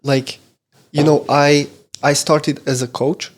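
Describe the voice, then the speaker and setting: Relaxed podcast host telling a story. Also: male voice, fairly high pitch, slow pace. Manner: relaxed, storytelling, a little hesitant. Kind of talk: casual conversational interview.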